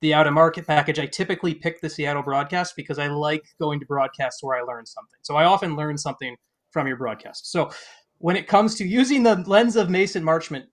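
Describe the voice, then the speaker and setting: A man's voice: a little high, a little fast. A man hosting a sports podcast, asking long-winded interview questions that he rephrases as he goes. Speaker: podcast host.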